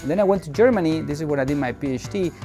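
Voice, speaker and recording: A man from Argentina, a scientist speaking quickly and plainly in an interview. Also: on the high side, mellow, faint music behind. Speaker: planetary scientist.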